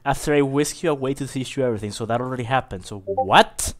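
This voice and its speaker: A man's voice: mid-range voice, fast talker. A man chatting casually with friends in a blunt, sweary way. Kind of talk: casual sweary group chat. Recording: loud background noise, clicks.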